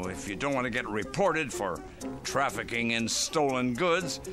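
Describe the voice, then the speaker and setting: Cranky, wildly swooping old man. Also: medium pitch. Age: older. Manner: irascible, emphatic, excitable. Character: cranky, stubborn old man, sentimental underneath. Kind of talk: radio drama acting. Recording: music behind.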